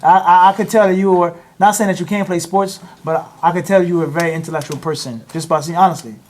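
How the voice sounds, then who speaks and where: A man's voice: sits high, fairly even intonation, fast, a little mellow. A man teaching a class, chatting with his students in a teasing way and dropping Arabic phrases into his speech. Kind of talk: classroom talk and lecture.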